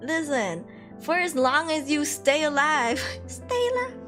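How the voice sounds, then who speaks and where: A young woman streamer from Malaysia chats casually with her viewers, her voice sitting a little high. She laughs a lot and scatters fillers through her talk. Soft music plays behind her. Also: big pitch swings, unhurried. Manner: chatty, laughs often, frequent fillers. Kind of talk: casual chat reading viewer comments.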